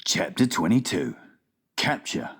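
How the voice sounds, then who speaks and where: A woman's voice: extremely low pitch, very animated, a little slow, breathy edge. A woman narrating a children's audiobook in a dramatized storytelling style.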